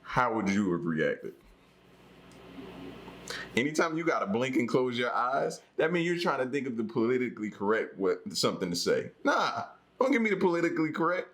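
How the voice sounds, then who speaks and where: A man's voice: medium pitch, sing-song, normal speed, fairly bright tone. A man co-hosting a casual reaction chat, talking in a slangy, teasing, incredulous way. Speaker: co-host reacting to a video.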